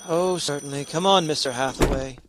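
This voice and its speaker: An actor playing a befuddled, mild-mannered husband in an old-time radio comedy, often hesitant and flustered. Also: male voice, a little high, fairly quick, slight background noise, close to an everyday voice. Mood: flustered, sheepish.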